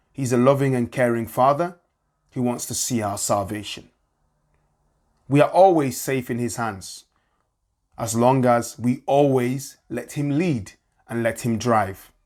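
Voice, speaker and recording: A man delivering scripted spoken word styled as an airline boarding announcement, in a measured, deliberate, preaching way. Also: mid-range voice, a little mellow.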